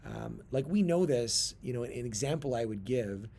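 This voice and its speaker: A middle-aged man with a mid-range voice teaches a lesson on religious texts. He puts deliberate weight on important words, pauses, and restates his points as he explains them. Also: medium pitch, animated, gravelly, dark tone, slight background noise. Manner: deliberate emphasis on key words, pausing and rephrasing. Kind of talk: explanatory video lesson, reading and translating texts.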